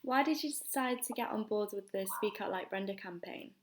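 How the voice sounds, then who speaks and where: A woman's voice: medium pitch, a little fast. A woman interviewing a guest, asking plain, direct questions.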